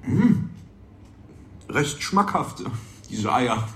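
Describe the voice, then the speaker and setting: A genteel ship's captain in a radio drama. He is effusively polite, drawing his words out slowly with wide swings of pitch. The space is echoey, with slight noise behind. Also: very animated, full character voice. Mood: appreciative. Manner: effusive, savouring, gentlemanly. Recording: roomy echo, faint background noise.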